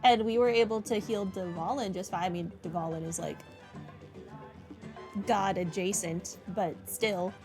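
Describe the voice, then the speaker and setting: A woman's voice: medium pitch, steady tone, measured pace, darkish tone. A woman with a mid-range voice comments casually on a game she is playing. She thinks aloud in a dry, wry way, with music running behind her. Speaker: video game streamer.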